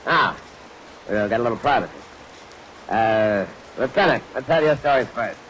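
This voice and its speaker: An actor playing a brusque, suspicious police sergeant in 1940s radio drama style, questioning people in a curt, bossy way. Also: male voice, mid-range voice, lively intonation, moderate pace, fairly bright tone, close to an everyday voice. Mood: probing. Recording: noisy vintage radio recording, rain over it.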